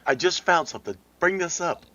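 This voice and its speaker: A man's voice: a little high, lively intonation, a little fast, pushed and bright, highs cut off. A man on a fan podcast, talking casually and repeating his points with emphasis. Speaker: podcast co-host. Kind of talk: casual chat.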